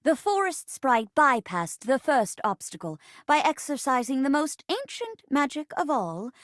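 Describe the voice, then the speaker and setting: A woman voicing a playful storyteller in a video game, narrating a fantasy play with grand, deliberate drama. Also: high-pitched, very animated, fairly bright tone, no echo, studio quality, full character voice. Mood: grandly dramatic.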